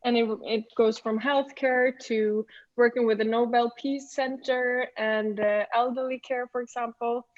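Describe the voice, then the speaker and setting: A woman leading a service design team, giving a relaxed, chatty introduction of her company. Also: on the high side, unhurried, lo-fi sound.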